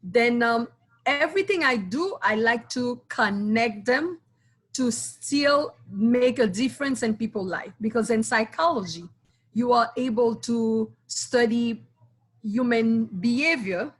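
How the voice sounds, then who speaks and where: Woman, fairly high voice. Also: breathy edge. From Haiti. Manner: earnest, proud, speaks in short phrases with pauses. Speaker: guest, school board candidate. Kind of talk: interview answers.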